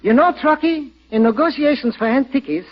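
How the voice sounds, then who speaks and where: An actor playing a fussy, chatty furniture dealer full of jokey wordplay, in old-time radio comedy style. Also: male voice, extremely high pitch, brisk. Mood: businesslike. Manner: jokey, wordplay-loving salesman patter. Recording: dull vintage 1940s radio recording.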